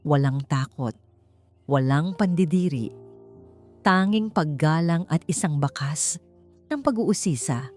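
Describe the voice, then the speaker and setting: Expressive low-pitched Filipino woman narrator. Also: takes it slowly, pauses often, soft background music. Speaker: audiobook narrator. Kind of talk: dramatic scripted story narration.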